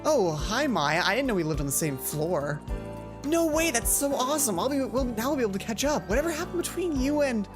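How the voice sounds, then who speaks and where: Woman doing a bubbly, higher-pitched schoolgirl. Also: big pitch swings, brisk. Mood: excited. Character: bubbly, confident, chatty teenage schoolgirl friend. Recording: music playing behind the voice.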